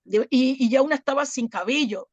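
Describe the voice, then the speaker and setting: Woman from Latin America, earnest personal storytelling, halting phrasing, slightly high voice, dull dry sound. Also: dry recording. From Latin America, outside Chile. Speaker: club volunteer and workshop participant. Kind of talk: personal storytelling in a group talk.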